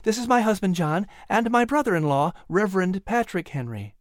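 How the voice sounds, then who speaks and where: A man's voice: high-pitched, big pitch swings, moderate pace, no echo, clean studio sound, voice pushed up. A man voicing a cheerful, teasing colonial hostess in a storybook, with emphatic, exaggerated enunciation. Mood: cheerful, welcoming.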